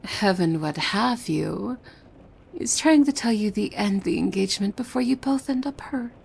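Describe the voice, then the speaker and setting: A woman playing a possessive demon maid in an ASMR roleplay, devoted and softly menacing, with hushed formal speech that often slips into breathy whispers. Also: mid-range voice, animated, moderate pace. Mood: calmly deflecting.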